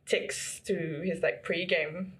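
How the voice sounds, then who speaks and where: A woman from the Philippines chats as a podcast guest with a bright, clear voice. She talks loosely, with frequent fillers and ready laughs. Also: fairly low pitch, steady tone, slow. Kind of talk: casual chat.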